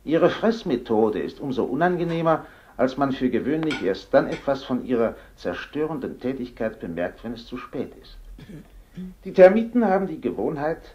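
An actor playing a male professor giving a formal, measured radio lecture in an old radio drama, his voice coming through a radio set. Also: medium pitch, very animated, mellow, slight background noise. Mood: lecturing. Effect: voice heard through a radio set. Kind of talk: 1950s radio drama acting. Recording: muffled old radio sound.

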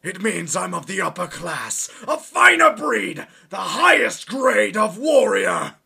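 A man voicing an arrogant, hot-tempered alien warrior villain in an anime parody, haughty, sneering and sarcastic, with a strained gravelly voice. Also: sits high, very animated, slow, bright, forceful tone, full character voice. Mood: smug. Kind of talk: exaggerated anime parody dub acting.

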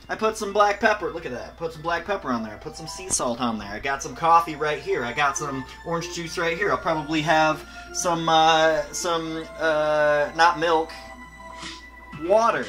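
High-pitched young man, slangy and self-mocking. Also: pushed and bright. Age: 20s. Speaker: host of a home cooking show. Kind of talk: casual comedic cooking vlog. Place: small home kitchen indoors. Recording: music playing behind, food frying. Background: music, sizzling pan.

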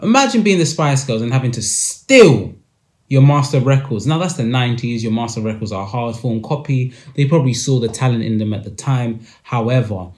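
A young man, hosting a podcast and commenting emphatically to camera from a small room. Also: medium pitch. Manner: animated, emphatic with hand gestures. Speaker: podcast host.

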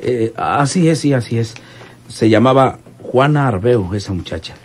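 An actor in a radionovela playing a wary informant who talks hesitantly, in folksy rural phrasing. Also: male voice, medium pitch, animated, normal speed, slight background noise, natural voice. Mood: wary, confiding. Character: wary male informant recounting an overheard murder plot. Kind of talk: old radionovela acting.

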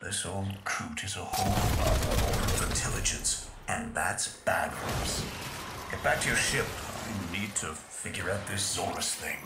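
Echoey, gruff, low-voiced engineer ally. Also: male voice, a little slow, heavy background noise. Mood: wary, businesslike. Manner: blunt, informal. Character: gruff, practical male engineer ally giving mission guidance. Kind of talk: sci-fi game mission dialogue. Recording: echoey, under loud music.

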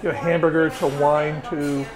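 A middle-aged man, a winery owner, answering interview questions in a relaxed, chatty way with many small pauses, on a lapel mic in an indoor tasting room. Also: fairly high pitch. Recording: lapel mic with busy crowd noise behind. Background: crowd chatter.

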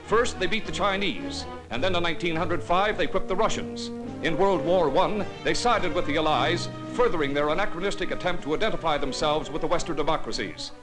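Old 40s newsreel narrator guy, solemn, voice a bit high, music playing behind. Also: a little fast.

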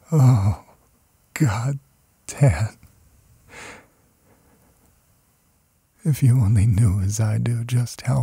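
A man's voice: mid-range voice, creaky, warm tone, husky, dry recording, voice pushed up, heavily acted. A man voicing a terror-stricken madman in a horror audiobook, raving in broken, repeated phrases. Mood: feeble despair. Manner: feverish, repetitive, broken phrases.

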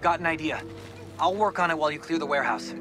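A man's voice: fairly high pitch, fast talker, over music, natural voice. An actor playing a chummy, nerdy teenage boy tech sidekick in a video game. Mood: upbeat, busy. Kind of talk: casual video game acting.

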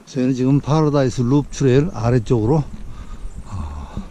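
A middle-aged Korean-accented man talks calmly along a mountain trail, his voice mellow and unhurried. He leaves long pauses as he walks. A faint hiss of outdoor air sits under an action-camera recording. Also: medium pitch. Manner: calm, measured commentary with drawn-out pauses. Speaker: hiking vlogger. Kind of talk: on-the-trail vlog narration.

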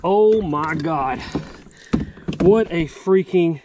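A young man vlogging from his kayak on a creek, excitable and quick to exclaim. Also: fairly high pitch, lo-fi sound, background noise. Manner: excitable, exclaims and laughs often. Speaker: fishing vlogger. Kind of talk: excited outdoor chat.

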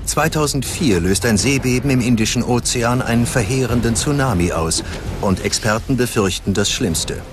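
A man's voice: mid-range voice, normal speed, background noise. A man reading scripted documentary narration as the narrator. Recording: music behind the voice.

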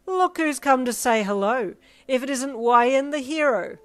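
A woman voicing a menacing male husky gang leader who talks slowly and loudly with a sneer. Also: high-pitched, no echo, pitched above the performer's own voice. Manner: slow, loud and sneering.

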